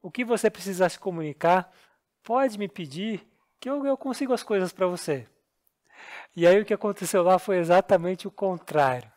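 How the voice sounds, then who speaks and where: A man's voice: high voice, moderate pace, a little mellow. A Brazilian man with a Brazilian Portuguese accent, co-hosting a podcast and telling travel stories in an earnest, explanatory, self-deprecating way. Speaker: podcast co-host. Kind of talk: casual storytelling chat.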